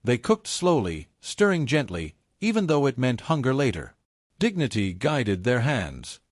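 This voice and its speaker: A man narrating a scripted folktale in a solemn, portentous way, in short measured phrases. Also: medium pitch, big pitch swings, no room sound, studio quality. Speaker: storyteller, narrator.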